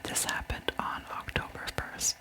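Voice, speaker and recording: A Canadian woman narrating true crime as ASMR in a breathy whisper, with informal asides to listeners. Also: a little slow.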